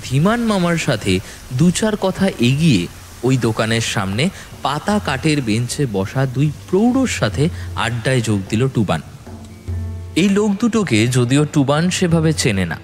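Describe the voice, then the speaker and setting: A male narrator reads a ghost story in a dramatic, scripted style. His mid-pitched voice moves with lively intonation, and soft music plays underneath. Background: background music.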